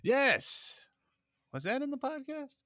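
Laughing man, lively podcast co-host. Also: very high voice, takes it slowly, no room sound, clean studio sound. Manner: laughs often, animated, bright. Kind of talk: casual chat.

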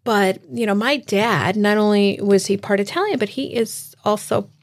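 A woman's voice: medium pitch, moderate pace. A woman hosting a podcast in casual interview chat, affirming her guest often. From United States. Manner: warm affirming, frequent mm-hmms. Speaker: podcast host.

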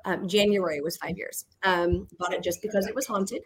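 A woman, chatting casually as a guest who owns a house, talking through a voice she is losing, joking often and filling pauses with ums. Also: mid-range voice, roomy sound. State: losing her voice. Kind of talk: casual livestream chat.

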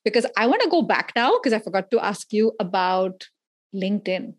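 A woman's voice: medium pitch, very animated, normal speed. A woman from India hosting a casual interview podcast in an effusive, informal, rambling way.

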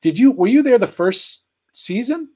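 A man recalling past work in a relaxed group chat, hesitant and rambling. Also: very high-pitched, normal speed, slightly rough. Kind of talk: casual group video chat.

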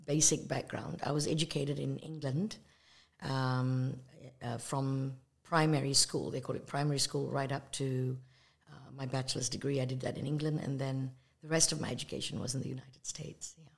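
A woman's voice: extremely low pitch, flat delivery, vocal fry, husky. A woman from Malaysia, an evangelist guest, speaking earnestly and reflectively about her faith in a conversational way. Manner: earnest and reflective, with pauses and fillers. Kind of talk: conversational testimony.

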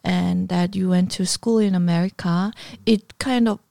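A woman's voice: on the low side, fairly even intonation, moderate pace, vocal fry, dark tone, no room sound. A woman interviewing a guest in a casual chat, asking probing questions with hesitant, filler-heavy phrasing.

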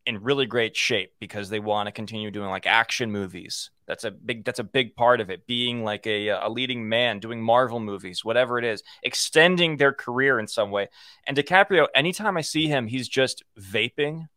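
A man's voice: medium pitch, moderate pace, pushed and bright, no echo, studio quality. A man with a young-sounding voice co-hosting a casual podcast, rambling with lots of "like" fillers and the odd joke. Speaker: podcast co-host.

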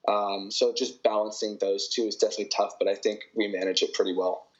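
Brief: young Canadian male athlete, easygoing, filler-heavy answers, slightly low voice, muffled lo-fi recording. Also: quick pace. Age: young adult. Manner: relaxed, many fillers and hedges. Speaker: college volleyball player. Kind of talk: interview answers.

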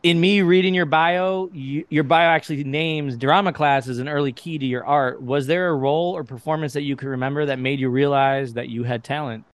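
A man with a smooth, clean voice and a mid pitch, heard dry and close. He talks in an animated, chatty way as he asks questions. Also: fairly quick, few pauses, dry recording. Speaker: co-host. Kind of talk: casual podcast interview. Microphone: conference call line.

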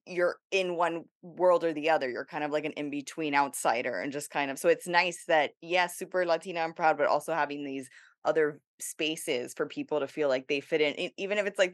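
A woman's voice: low-pitched, no room sound, studio quality. A Cuban American woman from the United States, co-hosting and talking hesitantly in casual conversation. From United States, Cuban American family. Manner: hesitant, many fillers and restarts.

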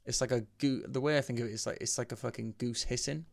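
A young man talks loosely on a podcast, his voice carrying a gravelly rasp at a middling pitch. He rambles through explanations, swears freely and drops in jokes. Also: fairly even intonation, fast. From Wales. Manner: sweary, jokey, explains things at length. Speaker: podcast co-host. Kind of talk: casual podcast chat.

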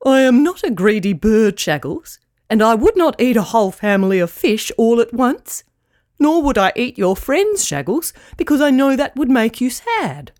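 A woman voicing a proud, haughty female pelican in a storybook, speaking formally, slowly and emphatically. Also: fairly high pitch, big pitch swings, darkish tone, dry recording, studio quality. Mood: indignant.

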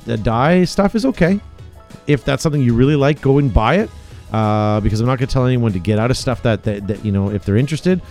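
A man hosting a casual panel chat, upbeat and halting, reading viewer questions aloud. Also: mid-range voice, lively intonation, a little mellow, faint music behind. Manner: enthusiastic, halting restarts. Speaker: podcast host reading live chat questions.

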